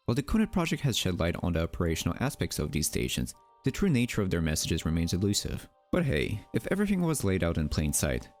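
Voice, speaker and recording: A man's voice: lowish voice, a little mellow. A man delivering scripted documentary narration in a wry, theatrical manner, with rhetorical asides. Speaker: narrator of a mystery documentary channel.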